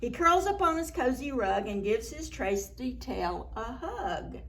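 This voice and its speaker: A woman storyteller reading a picture book aloud to young children in a playful, dramatic, expressive way. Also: a little high, unhurried. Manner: animated, playful, dramatic reading with gasps and exclamations; addresses young children with simple questions.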